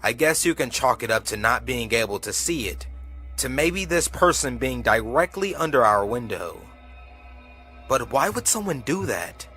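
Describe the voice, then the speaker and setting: A man tells a first-person horror tale in a crisp-edged, bright voice. His pitch moves expressively and his pace is a little slow, with dramatic pauses. Music plays behind him. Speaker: narrator. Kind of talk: scripted first-person horror story narration. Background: background music.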